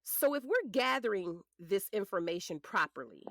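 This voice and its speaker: A woman's voice: medium pitch, very animated, moderate pace, fairly bright tone, dry recording, clean studio sound. A woman playing a polished defense attorney in a courtroom drama, formal, methodical and pointed. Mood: pointed, cutting. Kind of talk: audio drama acting.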